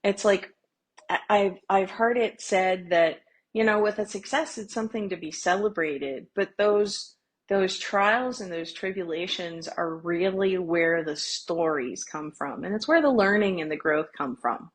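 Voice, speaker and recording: A woman's voice: medium pitch, unhurried, breathy edge. A woman hosting a podcast, chatty and encouraging as she draws out her guest. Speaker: podcast host. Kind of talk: casual podcast interview.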